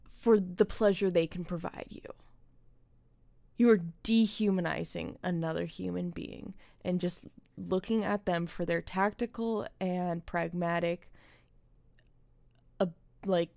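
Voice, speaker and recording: A woman's voice: medium pitch, a little mellow, no echo. A young adult woman giving casual, opinionated commentary as a gaming streamer, speaking earnestly and emphatically with some swearing. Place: indoor streaming setup.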